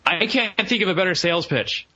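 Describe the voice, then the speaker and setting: A man's voice: high-pitched, lively intonation, fairly quick, bright, forceful tone, husky, muffled recording. A man hosting a podcast in an upbeat, jokey, enthusiastic way, mixing banter with review talk. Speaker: podcast host.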